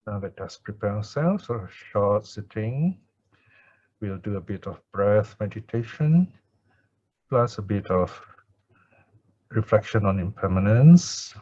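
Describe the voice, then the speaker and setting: A man who is a Buddhist teacher leading chanting and guided meditation in a calm, measured way with pauses, on dull audio. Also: fairly low pitch, husky.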